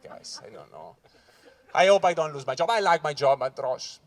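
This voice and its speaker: A Swiss man from Ticino, an English speaker, doing a loud, animated stand-up set at a corporate event and talking straight to the crowd. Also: a little high, normal speed. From Switzerland (Ticino). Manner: loud, animated crowd work, keeps saying "guys". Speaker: stand-up comedian. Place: corporate event hall with live audience.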